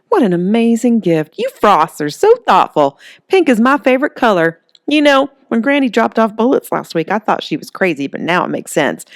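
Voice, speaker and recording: A woman's voice: medium pitch, no echo, clean studio sound, pitched above the performer's own voice. A woman voicing Holly, a brassy, flirty, tough woman who loves pink, with a sassy drawl. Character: brassy flirty middle-aged woman, pink-loving trailer owner, tough.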